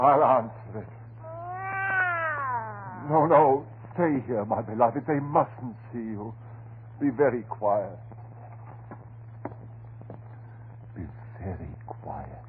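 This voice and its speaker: An actor playing an obsessed, secretive and nervous husband in a 1930s radio horror melodrama, speaking in halting, repetitive phrases. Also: male voice, mid-range voice. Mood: agitated, secretive. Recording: scratchy, hissy, narrow-band 1930s radio recording.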